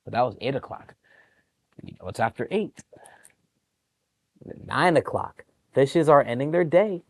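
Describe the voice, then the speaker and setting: Animated man reading bedtime stories to children. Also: medium pitch, a little slow, dry recording. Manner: animated, encouraging, explains pictures to young listeners. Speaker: children's storytime reader at a public library. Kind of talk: expressive picture book read-aloud with chatty asides to young children.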